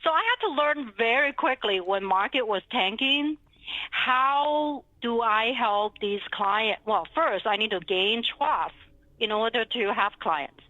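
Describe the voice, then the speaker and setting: A woman's voice: fairly high pitch, animated, unhurried, sharp tone, no room sound, lo-fi sound. A middle-aged woman from China with a Chinese accent, speaking conversationally as a financial advisor being interviewed. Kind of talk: conversational interview, telling her career story.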